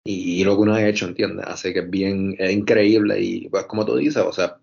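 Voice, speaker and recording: A man's voice: deep voice, steady tone, fairly quick, gravelly, a little mellow. A young man from Puerto Rico with a Puerto Rican accent, a basketball coach answering interview questions earnestly, often repeating words. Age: young adult. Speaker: basketball coach and video coordinator. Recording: dull, muffled audio.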